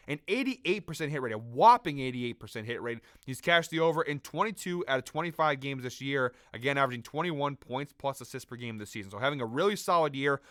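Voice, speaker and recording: A young man, sick and losing his voice, running through a fast sports betting breakdown in a brisk, number-heavy way with quick fillers and self-corrections. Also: fairly high pitch, a bit bright, dry recording, clean studio sound.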